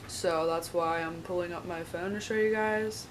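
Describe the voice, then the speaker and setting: A young woman, a college student, talking casually in a vlog, a bit raspy from a sore throat and filling her speech with "like" and "um". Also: on the low side, steady tone, moderate pace. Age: young adult. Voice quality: chatty, lots of "like" and "um". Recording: slightly distant mic with faint noise.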